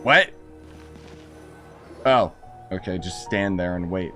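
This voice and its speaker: A man's voice: low-pitched, slow, pushed and bright. A man giving casual, sarcastic and incredulous commentary as he streams a game playthrough. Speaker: gaming streamer. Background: game music.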